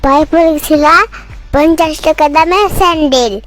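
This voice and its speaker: Bossy little cartoon kid, acted out, extremely high voice, cheeky and scolding, muddles words, music underneath. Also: fairly even intonation, normal speed, lightly acted. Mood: teasing accusing. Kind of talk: comic cartoon dialogue.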